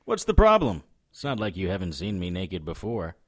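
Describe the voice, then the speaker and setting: A man's voice: deep voice, huge pitch swings, moderate pace, no room sound, full character voice. A man playing a battered, cocky supernatural investigator, narrating and talking with dry sardonic wit in a noir audio drama. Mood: teasing. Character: wisecracking hard-living male supernatural investigator, battered and cocky. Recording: music under the voice.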